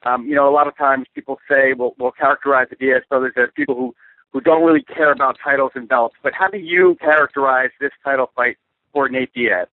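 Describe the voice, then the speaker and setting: A man's voice: medium pitch, fairly even intonation, a little fast, dry recording. A male sports journalist informally asking and rephrasing questions at a press conference. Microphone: phone. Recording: dry, narrow telephone line.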